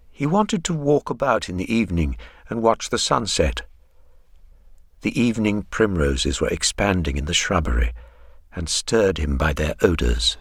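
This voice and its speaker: An actor playing a measured male narrator in a period literary radio drama. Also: low-pitched, breathy edge, no echo, heavily acted.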